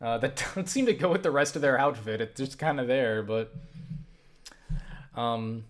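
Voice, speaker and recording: A man's voice: mid-range voice, moderate pace. A young man answering viewer questions in a casual vlog, rambling and swearing, with many restarts. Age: young adult. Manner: rambling, profane, animated with frequent restarts.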